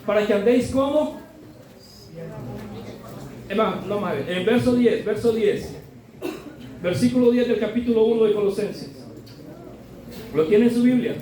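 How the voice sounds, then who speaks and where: A middle-aged man preaches in a high, mellow voice. He talks with his congregation in a conversational way and keeps asking them to agree with him. He is recorded from far off in a very echoey church hall with faint room noise behind him. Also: measured pace, long pauses. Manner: conversational, often adds tag questions; builds to loud emphatic exhortation. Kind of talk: sermon.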